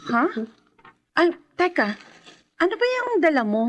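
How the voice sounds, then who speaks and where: A woman playing a gentle, anxious young woman in love, soft and caring, in a radio drama. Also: very high-pitched, big pitch swings, a little slow, heavily acted. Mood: pleased.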